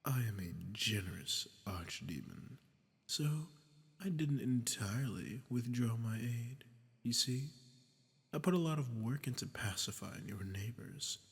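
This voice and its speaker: A man playing a smug, sadistic archdemon in a scripted audio roleplay, speaking to the listener with condescending menace and breathy murmurs. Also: medium pitch, expressive, unhurried. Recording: long cavernous reverb.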